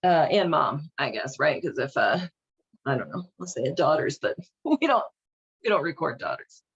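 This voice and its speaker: A woman's voice: deep voice, big pitch swings, normal speed, husky, muffled recording. A woman hosting a casual read-along and commentary, speaking in an informal, wry, irreverent way. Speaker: host reading and commenting on a children's Bible.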